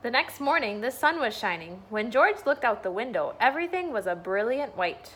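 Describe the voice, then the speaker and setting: Lively woman reading a children's story. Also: a little high, moderate pace, breathy edge. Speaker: school staff member reading a bedtime story. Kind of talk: storybook read-aloud for children.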